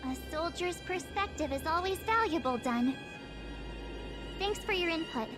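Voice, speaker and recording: A woman's voice: extremely high pitch, animated, a little slow. An actress playing a polite, diligent young female adventurer in a video game. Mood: earnest, attentive. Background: background music.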